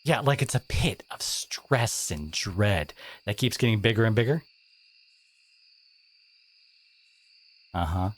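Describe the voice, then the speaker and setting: A man acts out a teenage bully with a low voice that swings widely in pitch. He is sardonic on the surface but wounded and caring underneath. The delivery is halting and confiding, like an intimate audio drama monologue. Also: expressive. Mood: knowing, sardonic.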